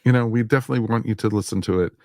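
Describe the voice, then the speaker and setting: Very deep, creaky-voiced male podcast host. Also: very fast, mellow, no room sound, clean studio sound. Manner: conversational, repeats himself, peppered with "you know". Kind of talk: casual podcast chat.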